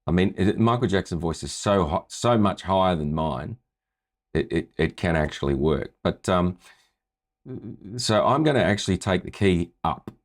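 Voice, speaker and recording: A man with a low voice talking casually as a music tutor, walking through a screen-share tutorial and often checking in with "right?". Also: lively intonation, normal speed.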